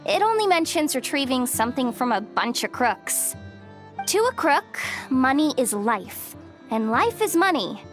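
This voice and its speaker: A woman's voice: high-pitched, sing-song, a little slow, soft background music. An actress playing a breezy, self-assured, streetwise young woman in a video game, talking in a casual, teasing, slangy way. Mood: teasing, laid-back. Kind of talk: video game character acting.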